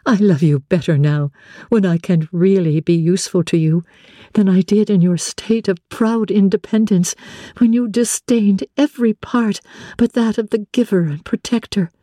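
A woman voicing a devoted, earnest young woman in love, softly and tenderly, in a dramatic reading of classic literature. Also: mid-range voice, normal speed, no echo, studio quality, pitched above the performer's own voice, close to an everyday voice. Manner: soft, breathy, tender and respectful.

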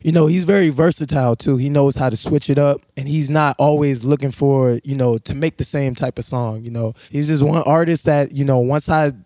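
A man with a hoarse voice, a radio intern, introducing an artist in an earnest, steady way with some "um" and "you know". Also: mid-range voice, brisk, few pauses, no room sound, clean studio sound. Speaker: radio intern presenting a music pick. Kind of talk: casual radio chat introducing a song.

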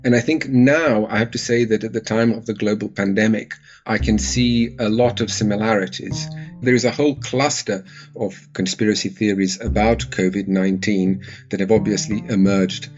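A man from Serbia, a psychology lecturer answering interview questions in a calm, methodical way. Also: lowish voice, normal speed, highs cut off, soft background music.

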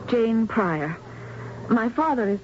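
A woman's voice: on the high side, expressive, slow pace, darkish tone. An actress playing a polite, anxious young woman in a radio drama. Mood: polite, guarded. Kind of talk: 1970s radio drama acting. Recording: muffled, noisy old radio broadcast.

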